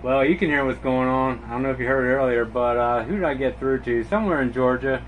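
Man, CB operator, repetitive friendly call-sign patter, mid pitch, clear static behind. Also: fast talker. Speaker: CB radio operator. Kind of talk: CB radio calls in slang. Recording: noisy radio static in the room. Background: CB radio static.

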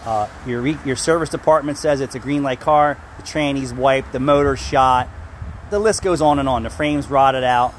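A man's voice: medium pitch, normal speed, slight background noise. A man in his fifties, a car shop owner, ranting bluntly and swearing into a phone held at arm's length outdoors. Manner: angry, blunt, swears and rambles. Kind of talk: ranting vlog to camera. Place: outdoors beside a building.

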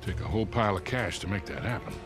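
An actor playing a suave, sardonic American crook in his sixties in a game cutscene, gravelly and dry. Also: deep voice, lively intonation, fast talker, music in the background. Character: suave, sardonic old crook, a grey-haired man. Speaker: video game character. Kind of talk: naturalistic game cutscene dialogue.

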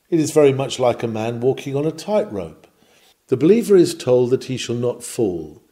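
A man reads an old sermon aloud with earnest conviction. His voice is mellow and relaxed in tone, of middling pitch, and moves in lively rises and falls. He leans deliberately on key words as he exhorts the listener. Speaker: reader of a historic sermon. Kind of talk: sermon reading, scripted and preacherly.

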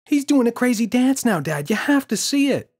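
Guy doing a excited little kid from a storybook, way up high, pleading, swoopy and frantic. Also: moderate pace, exaggerated voice. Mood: excited, insistent. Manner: frantic, insistent.

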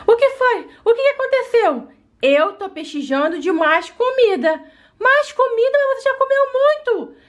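A woman's voice: very high voice, big pitch swings, normal speed. Woman voicing a fussy little waitress girl, Joana. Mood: exasperated. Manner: polite, then exasperated. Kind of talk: doll play storytelling.

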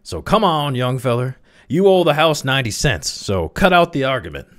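A tough, bullying watchman from a storybook, acted out by a male reader. He is stern and sarcastic, slinging streetwise slang, and his pitch leaps around as he jeers. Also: sing-song, moderate pace. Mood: loud, derisive. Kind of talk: dramatized story reading.

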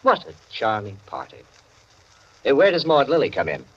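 Suave, husky society bachelor, swooping intonation. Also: male voice, medium pitch, huge pitch swings, normal speed, fairly bright tone, heavily acted. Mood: sly amusement. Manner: urbane, insinuating, formal. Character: suave, arch bachelor broker at a supper party. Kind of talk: 1940s radio acting. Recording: muffled, worn 1940s radio recording.

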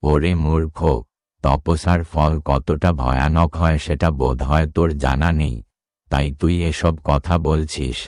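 Dark tantric, very deep bass, imposing, threatening, horror cartoon dialogue, dry recording. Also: male voice, steady tone, unhurried, no room sound. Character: menacing male tantric occultist seeking a corpse. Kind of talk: dramatic horror cartoon voice acting.

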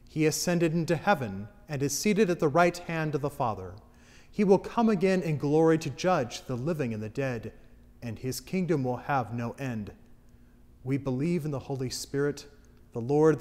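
A man leading a congregation through a creed in steady liturgical recitation. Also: on the high side. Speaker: worship leader. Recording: long church reverb.

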